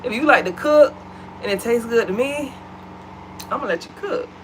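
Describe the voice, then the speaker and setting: A woman's voice: on the high side, animated, moderate pace, a little hiss or hum, poor audio. An American woman co-hosting a home vlog, chatting animatedly and addressing the viewers as y'all. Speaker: vlog co-host. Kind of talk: casual chat to viewers. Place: at home, seated.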